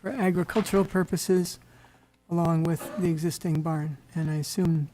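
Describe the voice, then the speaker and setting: An American man with a slightly hoarse voice, chairing a public board meeting in an informal, halting way. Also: a little high, steady tone, mellow, slight background noise, poor audio. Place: town board meeting room.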